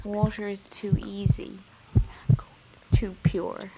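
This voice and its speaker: An Irish actress playing an unhinged, haunted young woman confiding in her diary in a horror audio drama, halting and often slipping into whispers. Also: medium pitch, little pitch movement, very noisy. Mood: brooding, bitter. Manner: slow, halting, breathy whispers breaking into intensity.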